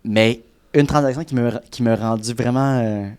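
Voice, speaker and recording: A man in his thirties with a Quebec French accent, a real estate broker chatting casually as a podcast guest, often restarting his sentences and filling pauses. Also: mid-range voice, a little mellow, clean tone. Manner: rambling, many restarts and fillers.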